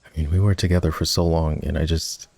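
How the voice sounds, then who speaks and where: A man playing an ex-boyfriend turned boss in an intimate roleplay ASMR, talking in a halting, conversational way. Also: very deep voice, little pitch movement, creaky, darkish tone, slightly rough, dry recording, heavily acted. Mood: vulnerable, regretful. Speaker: voice actor.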